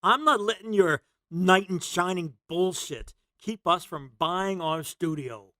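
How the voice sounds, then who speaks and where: Exasperated young punk musician for a motion comic, played by an actor; slangy, blunt delivery. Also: male voice, high-pitched, expressive, measured pace, bright, forceful tone, heavily acted. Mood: angry.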